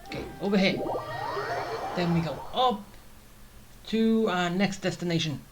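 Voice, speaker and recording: A man's voice: high-pitched, a little slow, low-quality recording. A man giving casual gameplay commentary as a let's play host, thinking aloud informally. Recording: game music under commentary.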